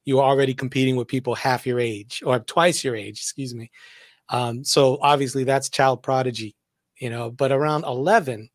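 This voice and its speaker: A man from Massachusetts works as an interviewer in a casual, chatty style. His pitch is average for a man, and the sound is dry, free of any room echo. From Massachusetts, USA.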